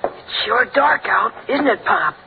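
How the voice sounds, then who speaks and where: High-voiced American boy on old radio. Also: expressive, unhurried, creaky, full character voice. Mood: anxious. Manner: naive, pleading. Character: earnest, trusting young American boy, son. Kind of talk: 1940s radio comedy acting. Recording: scratchy, noisy, narrow-band old radio recording.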